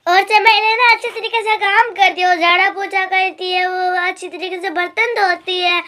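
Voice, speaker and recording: An older mother-in-law in a cartoon, voiced by a woman in a very high, bright tone. She lectures gently and patiently, scolding with a preachy manner. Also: little pitch movement, moderate pace. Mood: lecturing.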